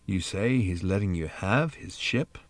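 A man doing a wary, slow-spoken barman. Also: deep voice, lively intonation, dry recording. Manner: cautious, hesitant. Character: wary, cautious male barman.

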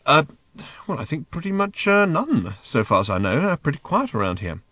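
An exasperated, world-weary man as a male audiobook reader acts him out in a comic sci-fi story. His pitch climbs and swings as he rants with biting sarcasm in long, flustered bursts. The sound is dry and close. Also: very animated, moderate pace, a bit bright, no room sound. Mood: flustered, hesitant. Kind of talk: dramatized audiobook of comic science fiction.

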